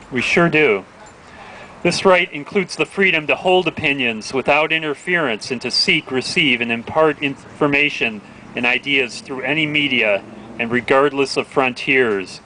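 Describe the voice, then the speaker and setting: A man's voice: mid-range voice, bright, forceful tone, low-quality recording. A man organizing a public event outdoors, reading aloud and adding activist remarks. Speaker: event organizer calling up readers. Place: outdoor town plaza. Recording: outdoor recording with faint street noise.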